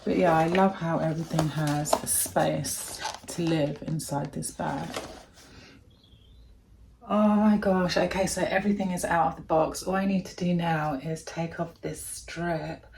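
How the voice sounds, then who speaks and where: Deep-voiced woman, slow and gravelly. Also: steady tone, darkish tone. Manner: gushing, chatty, thinking aloud. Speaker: vlogger. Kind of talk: casual unboxing chat.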